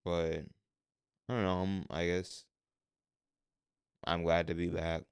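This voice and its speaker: A young man co-hosting a podcast in relaxed casual chat, mostly level in tone but bursting into lively swings when he gets passionate. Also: low voice, slow, vocal fry, smooth, no echo, clean studio sound. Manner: says his voice is monotone but jumps around when passionate. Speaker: podcast co-host.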